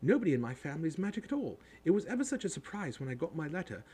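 A man performs a bossy young schoolgirl in a storybook reading. The voice is pitched high and swoops about eagerly. She rattles on like a confident know-it-all. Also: huge pitch swings, fairly quick, mellow, slightly rough, exaggerated voice.

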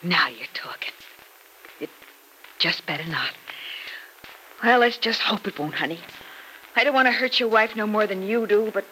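A woman's voice: medium pitch, moderate pace, pauses often, sharp tone, close to an everyday voice. A woman playing a wistful, teasing working woman in love, plain-spoken and slangy, in a 1940s radio soap. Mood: wry, wistful. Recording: noisy vintage radio drama recording.

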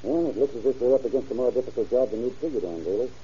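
An actor playing a steady, businesslike county sheriff in an old-time radio crime drama, questioning in a measured, matter-of-fact way. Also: male voice, mid-range voice, faint music behind, close to an everyday voice. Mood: pondering. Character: steady, businesslike male county sheriff leading a murder case. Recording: dull, noisy vintage radio recording.

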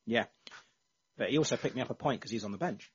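Husky man hosting a chatty podcast. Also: medium pitch, fast. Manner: chatty, rambling, hesitant. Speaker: podcast host. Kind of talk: casual chat. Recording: dull lo-fi.

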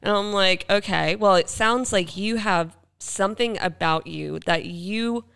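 A woman's voice: mid-range voice, unhurried, vocal fry, fairly bright tone, clean tone. A woman podcast co-host chatting casually and telling anecdotes, acting out bits of dialogue as she goes. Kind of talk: casual chat, anecdotal storytelling.

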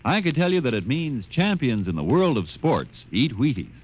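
A man's voice: mid-range voice, sing-song, normal speed, husky, low-quality recording. A man reading a vintage radio advertisement as the announcer, folksy and upbeat.

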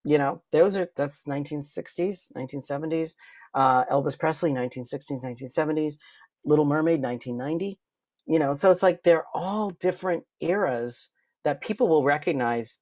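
A man's voice: fairly high pitch, a little fast, a little mellow, no echo, clean studio sound. A man speaking as a podcast guest, explaining his craft in casual conversation and often interrupting himself with a new thought. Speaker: guest, voice impressionist and performer.

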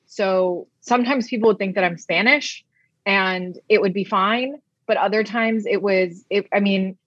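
A young woman whose first language is Arabic speaks thoughtfully in an interview, circling back and rephrasing as she talks. Her voice sits at an ordinary middle pitch. The sound is dry and a little dull. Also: no room sound. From United States (Brooklyn, New York). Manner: reflective, with frequent restarts and soft laughs. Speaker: guest, journalist. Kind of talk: candid interview answers.

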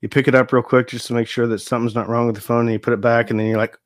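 A man's voice: mid-range voice, little pitch movement, rapid-fire, dry recording, clean studio sound. A man in his forties chatting casually as a guest, joking and self-deprecating as he tells stories. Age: 40s. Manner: jokey, self-deprecating storyteller. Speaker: youtube channel host, guest. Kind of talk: casual chat.

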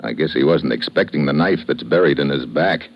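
Hardboiled male agent, very deep voice, clipped, wry, deadpan, slangy, old radio drama, muffled sound. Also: fairly quick, heavy background noise. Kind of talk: old-time radio drama acting with first-person narration. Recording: dull old radio recording, music behind.

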